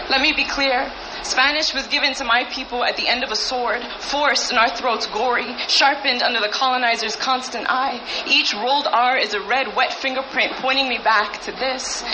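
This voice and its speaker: A young woman with a fairly high voice performs spoken-word poetry, her delivery intense, measured and cutting. The clip carries a clear, steady hiss of background noise under her.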